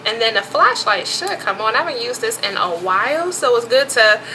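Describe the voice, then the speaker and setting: A woman's voice: a little high, big pitch swings, normal speed, bright, forceful tone, slight background noise, rough recording. A woman vlogger talking casually and chattily as she shows off products, with informal asides. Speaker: vlogger presenting emergency gear. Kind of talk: casual product show-and-tell.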